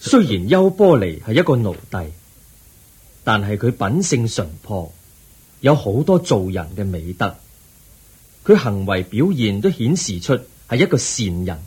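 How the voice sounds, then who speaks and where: A man with a Hong Kong Cantonese accent playing the Buddha, a calm, wise teacher who counsels gently and patiently in a radio drama. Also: lowish voice, measured pace, breathy edge, heavily acted.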